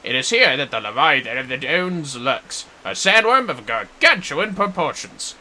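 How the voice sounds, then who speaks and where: A man's voice: fairly high pitch, big pitch swings, moderate pace, pushed and bright, slight background noise, pitched above the performer's own voice, full character voice. A man voicing an earnest, wordy warrior mentor in a fantasy game, with a theatrical, formal storytelling delivery. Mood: solemn, instructive. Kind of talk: fantasy game quest dialogue read aloud.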